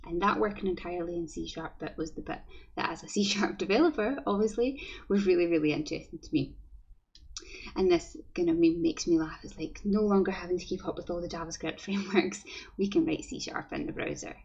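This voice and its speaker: A woman's voice: fairly low pitch, brisk, creaky, darkish tone, husky, lo-fi sound. A young woman with a Glasgow accent, a developer giving a friendly, chatty online conference talk and often repeating words as she goes. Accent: Scottish, Glasgow.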